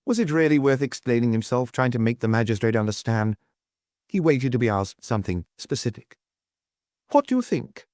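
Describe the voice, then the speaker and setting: A male narrator reads detective fiction from a script. His voice sits in a middle pitch, recorded very dry with hardly any room around it. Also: brisk, a little mellow, no room sound. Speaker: audiobook narrator.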